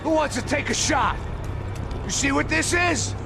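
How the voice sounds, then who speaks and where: An actor playing a furious, reckless American action hero in a game cutscene, confrontational and menacing. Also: male voice, extremely high pitch, animated, normal speed, vocal fry, full character voice. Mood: defiant, angry. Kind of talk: video game cutscene acting. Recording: loud music over the dialogue.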